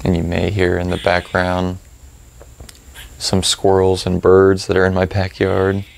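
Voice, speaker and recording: Male meditation guide, calm, slow delivery with a creaky low register and roomy pauses between instructions. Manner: calm, unhurried, with long pauses. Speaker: meditation teacher.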